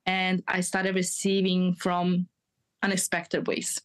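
A woman's voice: fairly low pitch, monotone, takes it slowly, clear, resonant tone. A woman in her thirties, a guest on a podcast, telling personal stories in an earnest, confiding way and laughing at herself, with slips in her English grammar. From Europe. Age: 30s. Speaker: guest, mindset coach. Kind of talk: candid storytelling in a podcast interview.

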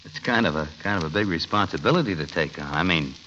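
An actor playing an earnest, boyish young sailor bridegroom in an old radio comedy, eager and a bit bashful. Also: male voice, low-pitched, lively intonation, quick pace, pushed and bright, lo-fi sound. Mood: tender, bashful. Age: young adult. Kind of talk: 1940s radio comedy acting. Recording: old radio recording, slight noise.

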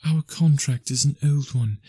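A man reading aloud and voicing a gentle, sorrowful young woman who speaks softly and earnestly as she releases the man she was to marry. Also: mid-range voice, unhurried, slightly rough, no echo, studio quality, pitched above the performer's own voice. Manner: soft, earnest, steady.

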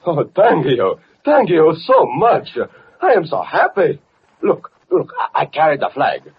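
An excitable Italian immigrant with a high, very husky voice. His broken English swings up and down, eager and naive, on a muffled vintage radio recording. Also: unhurried, full character voice. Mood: joyful, grateful. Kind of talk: old-time radio acting.

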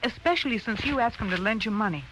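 An actress playing a scheming, pushy accomplice in an old-time radio drama, talking in an insistent, persuasive way. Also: female voice, a little high, lively intonation, quick pace, close to an everyday voice. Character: scheming, pushy female accomplice urging on her man. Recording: noisy old radio recording.

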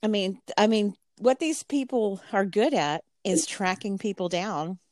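A woman hosting a true crime podcast in a casual, measured way, her voice getting a little scratchy. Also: mid-range voice, darkish tone, dry recording, clean studio sound. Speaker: podcast co-host.

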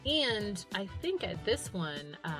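A woman co-hosting a podcast in casual chat, with an animated, irreverent and sarcastic way of talking that includes free swearing. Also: mid-range voice, takes it slowly, over music. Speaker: podcast co-host.

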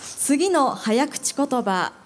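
A woman's voice: sits high, sing-song, drawn-out words, a crowd in the background, exaggerated voice. A woman playing a polite, playful goddess of Japanese myth in a live radio drama, over music. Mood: grand, playful. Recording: live event mic with music underneath.

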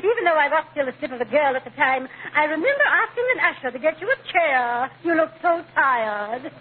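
Haughty, high, swooping society lady. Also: animated, brisk, phone-quality sound. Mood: haughty, affected. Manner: pompous, affected, grand. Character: haughty, snobbish middle-aged society lady. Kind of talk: 1940s radio comedy acting. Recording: thin, hissy old radio broadcast.

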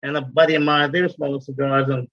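A man's voice: mid-range voice, little pitch movement, fast, dry recording, highs cut off. A man, talking casually as an interview guest about his cigar business, rambling with many "you know"s. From Guyana.

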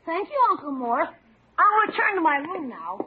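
An actor playing a lively schoolboy nephew in an old radio comedy. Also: sits high, moderate pace, background noise. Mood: prim, polite. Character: lively schoolboy nephew, by turns prim and rambunctious. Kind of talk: 1940s-50s radio comedy acting. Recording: tinny old radio broadcast, studio audience.

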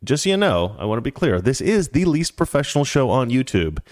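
A man's voice: mid-range voice, very animated, a little fast, a crowd in the background. A man hosting a casual commentary show, rambling and opinionated with a joking edge. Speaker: show host.

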